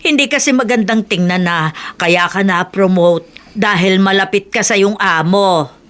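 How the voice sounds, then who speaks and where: A woman acting a gentle, worried, pious mother in a radio drama, speaking in an earnest, advising way. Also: mid-range voice, measured pace, lightly acted. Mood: gently advising. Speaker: actor.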